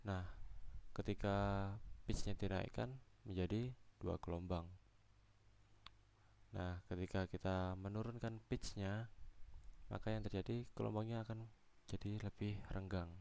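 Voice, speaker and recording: Young male student presenter. Smooth, lowish voice, halting step-by-step technical explanation. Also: steady tone, warm tone. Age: young adult.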